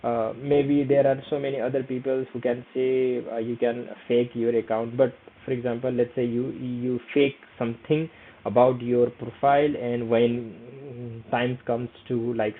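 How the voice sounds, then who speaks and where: A man's voice: mid-range voice, little pitch movement, a little slow. A young man with a Nepali accent, a native Nepali speaker, walking through a screen-share tutorial in hesitant English full of fillers and repeated "okay". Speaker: vlogger. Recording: tinny phone-like audio.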